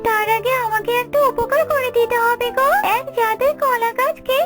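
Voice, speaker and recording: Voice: extremely high pitch, fairly even intonation, normal speed, music in the background, pitched above the performer's own voice. A performer voicing a greedy, scheming female crow in a cartoon, pushy and wheedling.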